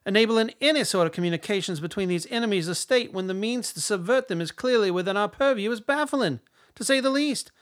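An actor playing a stern, contemptuous older defense secretary in a radio drama, formal, clipped and condescending. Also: male voice, high voice, fast, a bit bright. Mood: angry, cutting.

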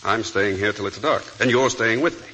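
An actor playing a menacing, bitter escaped murderer with mocking politeness and a husky voice, in 1940s radio drama style. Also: male voice, lowish voice, moderate pace, sharp tone, low-quality recording. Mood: quietly menacing. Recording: vintage 1940s radio broadcast.

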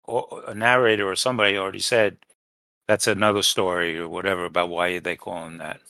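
A man's voice: deep voice, little pitch movement, a little fast, sharp tone, no echo. A man co-hosting a podcast in casual chat, tentatively groping for names and details. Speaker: podcast co-host.

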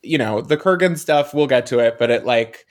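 A man's voice: mid-range voice, lively intonation, normal speed. A man talking casually as a podcast panelist, giving analytical, hedged opinions in a conversational way. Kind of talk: casual review chat.

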